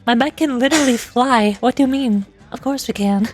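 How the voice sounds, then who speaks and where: Woman voicing a high-pitched, confident warrior leader. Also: a little fast, natural voice. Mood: confident, teasing. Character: bold, confident female warrior leader on a motorbike. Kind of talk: dramatic voice-over of video game dialogue. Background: music.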